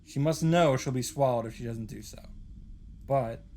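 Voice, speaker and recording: A man's voice: mid-range voice, normal speed, mellow, a little hiss or hum. A man reading a visual novel story aloud as a host, in a dramatic narrating style.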